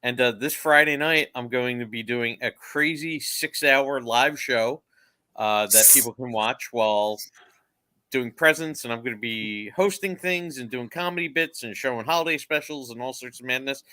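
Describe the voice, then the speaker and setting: A man's voice: mid-range voice, moderate pace, sharp tone, no echo. A man who hosts shows, talking casually as a panelist on a video call. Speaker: show producer and host, panelist. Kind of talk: casual self-introduction on a panel.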